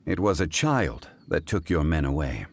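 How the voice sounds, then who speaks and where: A man playing a composed, scholarly gentleman in a video game, speaking formally, deliberately and precisely. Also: extremely low pitch, big pitch swings, full character voice. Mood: methodical. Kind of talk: English video game voice acting.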